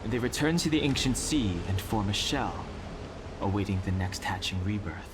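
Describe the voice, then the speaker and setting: A man playing a solemn, reserved young warrior in a video game, speaking gravely, formally and deliberately. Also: fairly low pitch, lively intonation, background noise, close to an everyday voice. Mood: solemn, reciting. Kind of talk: video game character acting. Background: music.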